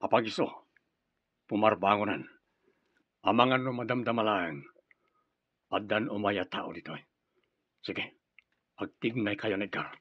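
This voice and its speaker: A man's voice: fairly low pitch, a little slow, long pauses, husky, no echo, highs cut off. A man playing a stern, ruthless group leader who speaks with severe command in an Ilocano radio drama. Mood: businesslike.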